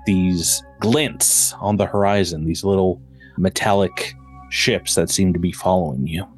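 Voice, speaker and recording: A man running a tabletop game as game master, reading out rules and setting scenes deliberately. His voice is very low and unhurried, with faint music behind. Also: husky. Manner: slow, deliberate rules narration.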